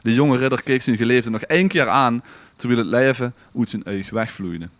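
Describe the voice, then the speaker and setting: A man's voice: mid-range voice, moderate pace, dry recording. Male podcast storyteller, Limburgish Dutch accent, theatrical delivery of a written legend, mid-range voice, dry recording. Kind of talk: dramatic storytelling read from a script.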